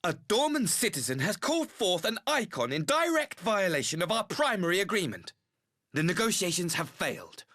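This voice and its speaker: A commanding imperial envoy from a game drama. The voice is bright and cutting, with very wide swings of pitch, stern and formal in its clipped orders. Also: male voice, big pitch swings, moderate pace, heavily acted. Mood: cold authority. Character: imperious male imperial ambassador, cold, commanding. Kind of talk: dramatic video game acting.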